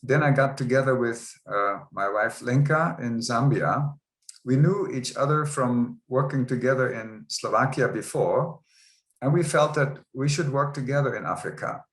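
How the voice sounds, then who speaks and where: Man in his 60s, interview guest, earnest and measured, mid-pitched voice, echoey room. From Germany. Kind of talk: interview storytelling. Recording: roomy echo.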